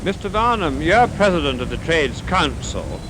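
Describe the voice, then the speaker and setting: A man's voice: on the high side, very animated, moderate pace. A male television reporter putting direct, pressing questions in a news interview. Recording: noisy 1950s outdoor archive film sound.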